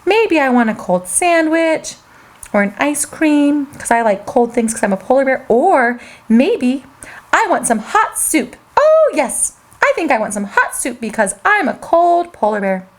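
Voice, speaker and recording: A woman's voice: sits high, big pitch swings, voice pushed down. A woman voicing a friendly male polar bear in a storybook, humming and musing over what to eat. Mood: pondering. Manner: musing with drawn-out hums.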